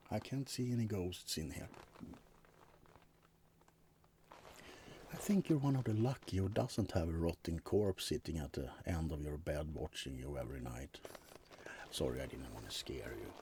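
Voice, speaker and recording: A man's voice: low-pitched, darkish tone, slight background noise. A man playing a laid-back, wry, centuries-old vampire roommate in an intimate, rambling ASMR roleplay. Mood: soft, coaxing. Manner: hesitant, rambling, intimate, drifting into whispers. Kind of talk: ASMR roleplay monologue addressed to the listener.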